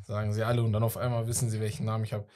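A man's voice: lowish voice, monotone, very fast, mellow. A young man bantering casually and giving out clues in a guessing game. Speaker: quiz player giving clues. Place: small indoor podcast studio. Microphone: studio microphone on desk arm.

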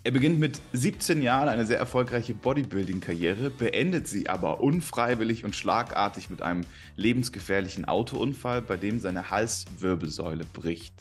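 A man's voice: fairly low pitch, expressive, normal speed, few pauses, faint music behind. A man in his thirties hosting a podcast over a video call, upbeat and enthusiastic as he introduces a guest and asks questions. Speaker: podcast host. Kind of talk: scripted intro, then interview questions. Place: room with large windows, indoors.

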